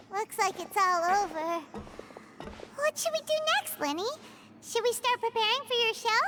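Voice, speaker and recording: A woman's voice: very high voice, very animated, measured pace, heavy background noise, exaggerated voice. A woman voicing a tiny, perky, childlike fairy companion in a video game, chirpy and eager. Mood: relieved, curious.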